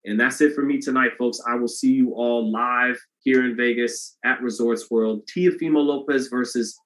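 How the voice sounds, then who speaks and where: Male boxing host on a livestream, mid-pitched, monotone, rambling and casual with the chat. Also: normal speed, poor audio. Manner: monotone delivery, self-described; loose and rambling, many restarts.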